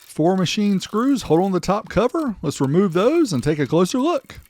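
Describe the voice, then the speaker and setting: A man's voice: high voice, very animated, moderate pace, a little mellow. A man narrating a product showcase as the host, in a chatty, informal way. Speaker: host of a vintage car audio video channel.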